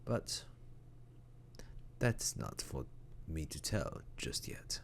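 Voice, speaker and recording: A droll, formal storyteller performed by a man hosts an audio drama. The voice is very low with lively swings in pitch. He narrates theatrically and dryly, over faint noise. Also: very slow, creaky, heavily acted. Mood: mysterious, knowing. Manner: theatrical, dry, formal word choice. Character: formal, droll, apologetic male storyteller host. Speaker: host and narrator. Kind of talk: scripted narration.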